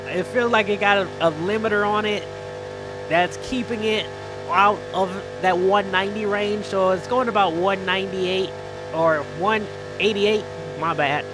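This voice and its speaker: A man with a somewhat high-pitched voice gives casual gameplay commentary over clearly audible music. He talks in a chatty, colloquial way and often says a phrase twice as he goes. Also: low-quality recording. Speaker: gaming video creator and host.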